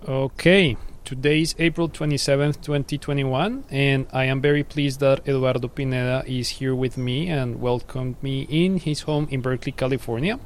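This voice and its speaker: A man hosting an oral history, reading a formal introduction and then asking questions in a measured way. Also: medium pitch, steady tone, a little hiss or hum. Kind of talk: read introduction, then interview.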